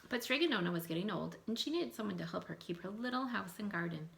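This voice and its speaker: A woman's voice: medium pitch, animated, fast talker, vocal fry, fairly bright tone, slight background noise. A woman giving school announcements and reading a storybook aloud to young children. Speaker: elementary school staff member reading aloud.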